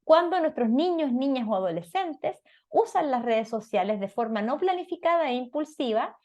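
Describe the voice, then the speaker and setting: A woman's voice: high voice, animated, normal speed, darkish tone. A woman with a Chilean Spanish accent giving an explanatory talk as a parenting lecturer, didactic and often checking in with short questions.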